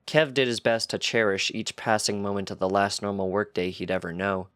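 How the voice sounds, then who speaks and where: A man's voice: lowish voice, fairly even intonation, a little fast, fairly bright tone, smooth, no room sound, studio quality. A man reading a piece of fiction aloud as scripted narration.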